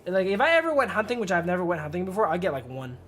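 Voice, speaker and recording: A woman's voice: on the low side, sing-song, rapid-fire, a bit bright, a little hiss or hum. A woman live-streaming a game, reading its text aloud and adding casual, slangy commentary. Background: music.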